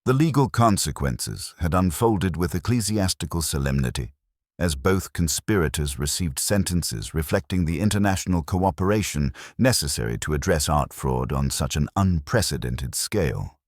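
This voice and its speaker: Man, extremely deep voice, dry close sound, scripted mystery narration, formal measured delivery. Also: expressive, no echo. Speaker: audiobook narrator.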